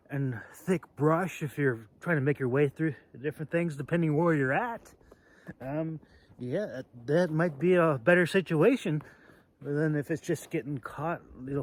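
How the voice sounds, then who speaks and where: A man hosting a casual, off-the-cuff demonstration video, talking in a halting, rambling way with phrases that trail off. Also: fairly high pitch. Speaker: video host demonstrating sword carry.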